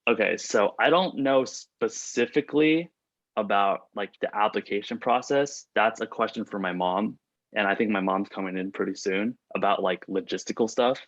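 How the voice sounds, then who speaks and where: Young man, native Chinese, low and dry. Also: moderate pace, fairly bright tone, no room sound. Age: young adult. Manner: chatty, many "like" fillers and restarts. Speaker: student panelist reading out questions. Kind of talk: casual Q&A chat. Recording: dull lo-fi dry recording.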